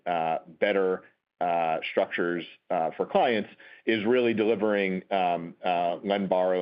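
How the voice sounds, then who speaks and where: Low-voiced man, halting executive on a muffled call. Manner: halting, explanatory. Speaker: company executive. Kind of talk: earnings call answer to analyst questions. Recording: dull, muffled conference-call audio.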